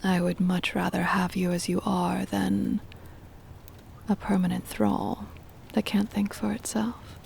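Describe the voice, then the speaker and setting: Woman acting as ancient female vampire, slow creaky whisper, doting and possessive, intimate ASMR roleplay, faint hiss. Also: medium pitch. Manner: slow, hushed, formal, deliberate wording. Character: centuries-old female vampire mistress, possessive, tender, doting.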